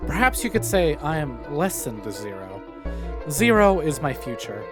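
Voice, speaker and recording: A man voicing a cryptic, self-assured young woman in a visual novel, in a deliberate monologue. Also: a little high, very animated, music in the background.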